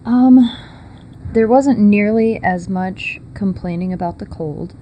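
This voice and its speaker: A woman's voice: mid-range voice, slow pace, lo-fi sound, slight background noise. Chatty young woman. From Texas. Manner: chatty, laughs often, loose storytelling with fillers. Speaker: guest. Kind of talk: casual interview.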